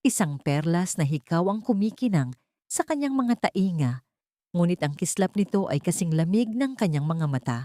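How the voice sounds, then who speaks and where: Female audiobook storyteller, slightly low register, very expressive intonation, scripted drama, dry sound. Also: moderate pace, no room sound. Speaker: audiobook narrator.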